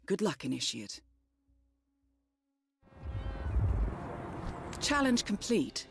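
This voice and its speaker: A woman playing a composed, confident spy handler in a video game, with a British accent and a measured, formal delivery. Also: mid-range voice, sing-song, creaky, music in the background. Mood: instructive, cool. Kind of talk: scripted cutscene dialogue and mission briefing.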